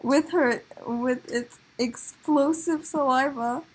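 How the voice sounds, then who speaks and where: A woman's voice: high-pitched, takes it slowly, noisy background. A woman improvising comic stories as a storyteller, with a dramatic, sometimes hesitant delivery. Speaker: storyteller of improvised puppet shows.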